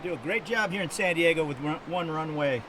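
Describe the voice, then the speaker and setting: A man's voice: on the high side, fast talker. A man giving short plane spotting commentary outdoors near an airport runway. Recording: outdoor recording with loud aircraft noise. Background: aircraft noise.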